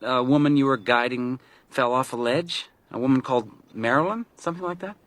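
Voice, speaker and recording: A man's voice: mid-range voice, brisk, fairly bright tone, no echo, lightly acted. A man acting a younger, talkative hiker in a radio drama, nervy, probing and insistent.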